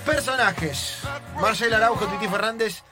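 A man's voice: high-pitched, moderate pace, music in the background. An Argentine man hosting a playful radio show in a theatrical way, repeating his phrases. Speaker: radio host. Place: radio studio.

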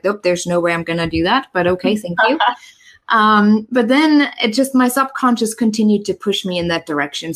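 A young woman from Germany, telling her story as an interview guest over a video call in a bubbly, quick way. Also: medium pitch. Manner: bubbly, quick, laughing, restarts often. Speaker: guest, transformation coach.

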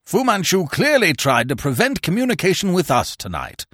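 A man reading aloud voices a hot-tempered older gentleman who roars and talks emphatically. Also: high-pitched, wildly swooping pitch, moderate pace, no room sound, clean studio sound, voice pushed up, full character voice. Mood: urgent. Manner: loud, emphatic, roaring.

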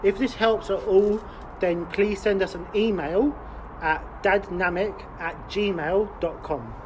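A man's voice: high-pitched, takes it slowly, noisy background. A man, co-hosting a casual podcast chat. He rambles, swears a lot and laughs often. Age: late 20s. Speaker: podcast co-host.

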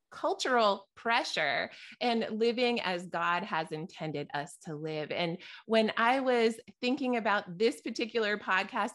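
A woman hosting a faith podcast, bubbly and quick to laugh. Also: mid-range voice, a bit bright. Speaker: podcast host. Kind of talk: upbeat faith podcast interview.

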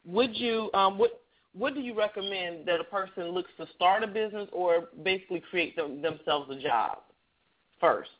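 A man's voice: high voice, moderate pace. A male radio show host with a heavy voice, chatting loosely in an interview. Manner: says he has a heavy voice; chatty, rambling with restarts. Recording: tinny phone-like line.

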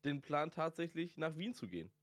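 A man's voice: fairly high pitch, sing-song, a little fast, dry recording, clean studio sound. A man chatting casually and telling slangy anecdotes as a podcast guest. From northern Germany. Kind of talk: casual chat.

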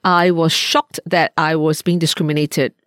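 A woman in her sixties from Malaysia co-hosting a podcast. She speaks in a fairly low voice, measured and thoughtful, often pausing with small fillers as she reflects. The recording is close and dry, with no room sound. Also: flat delivery, fairly bright tone, no echo. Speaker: podcast co-host.

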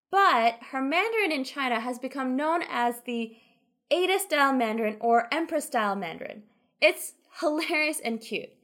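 Chatty woman podcast co-host, high voice. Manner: enthusiastic, chatty. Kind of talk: casual podcast chat about a drama.

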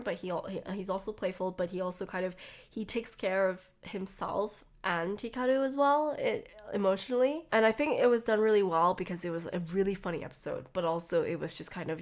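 A young adult woman with a blocked nose and a slightly nasal voice, rambling casually on her podcast with lots of "like"s and restarts. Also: mid-range voice. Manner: chatty, rambling with frequent "like" and restarts. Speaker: podcast host. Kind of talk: casual solo podcast talk.

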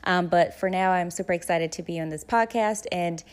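A woman's voice: lowish voice, normal speed, smooth, no echo. A young woman from East Africa whose first language is Luganda, a doctoral student speaking as a podcast guest in an upbeat, casual way, with frequent fillers and laughs. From East Africa (Uganda, Rwanda). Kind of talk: casual interview chat.

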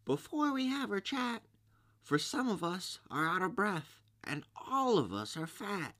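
A man voicing a naive, frightened little oyster in a storybook, squeaky, childlike and pleading. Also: very animated, a little slow, pushed and bright, full character voice. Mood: breathless protest. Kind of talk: storybook character reading.

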